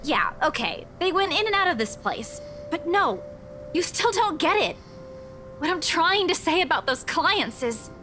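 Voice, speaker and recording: Game role: headstrong, defensive woman, high and forceful voice, irritable and sharp-tongued. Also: very animated, a little slow, soft background music, full character voice. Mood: frustrated. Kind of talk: visual novel game voice acting.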